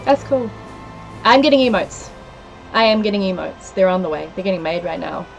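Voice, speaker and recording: A woman's voice: mid-range voice, fairly even intonation, a little fast, creaky, highs cut off. A woman in her thirties with a New Zealand accent, talking casually into a headset mic in a small room. She is chatty and giggly and reels off place names from her viewers, with faint game music underneath. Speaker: livestreamer. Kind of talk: casual game-stream chat, reading out viewer comments.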